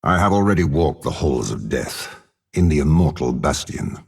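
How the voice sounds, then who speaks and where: An actor playing a cold, scheming older warlord and spymaster in a video game, speaking with a gravelly, husky voice in a measured, haughty, menacing manner. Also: male voice, extremely low pitch, animated, heavily acted. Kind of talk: video game character voice lines.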